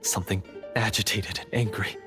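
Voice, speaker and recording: A man's voice: lowish voice, steady tone, lightly acted. Man acting a troubled, bitter treasure hunter. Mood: rueful. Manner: halting, sighing, brooding. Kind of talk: video game voice acting. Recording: faint music behind the voice.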